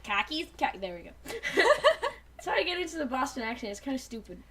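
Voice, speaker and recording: High, animated young woman bantering. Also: fast talker. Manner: giggly, theatrical, joking. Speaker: host, fanfiction reader. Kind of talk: casual comic banter.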